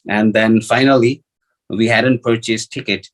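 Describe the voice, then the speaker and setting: A man with a notably low voice and a Nepali accent, a native speaker of Nepali. He shares a personal testimony in an informal storytelling way. His delivery is halting, circling back over phrases and thinking aloud through many fillers. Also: fairly even intonation, low-quality recording. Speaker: devotee sharing personal testimony.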